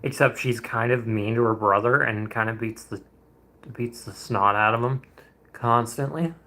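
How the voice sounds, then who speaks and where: A man's voice: mid-range voice, moderate pace, sharp tone. A man in his twenties, a streamer chatting casually and rambling with viewers during a painting livestream, chuckling often. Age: 20s.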